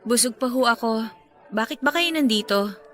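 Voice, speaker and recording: A woman acts out a defiant young Filipina daughter in a radio drama. Her high, sharp voice is bitter, accusing and full of feeling, with music underneath. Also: normal speed, some chatter behind, close to an everyday voice. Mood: cold, bitter. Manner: accusing, emotional.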